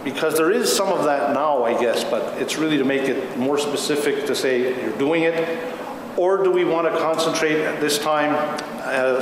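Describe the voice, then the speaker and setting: A man leading an informal community group discussion as facilitator, speaking haltingly. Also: on the high side. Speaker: meeting facilitator. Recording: echoey hall with loud room noise.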